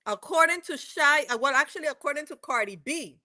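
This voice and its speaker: A woman's voice: high-pitched, lively intonation, fairly quick, pushed and bright. A woman with a West Indian accent hosting a livestream, chatting casually and rambling with lots of self-corrections as she recaps court testimony. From West Indies. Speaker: livestream host.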